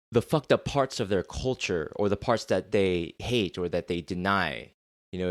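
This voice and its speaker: A man's voice: lowish voice, no echo, clean studio sound. A young adult man hosting a solo podcast, talking about his life in a candid, earnest way and swearing now and then. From United States. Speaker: podcast host. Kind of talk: solo reflective podcast monologue.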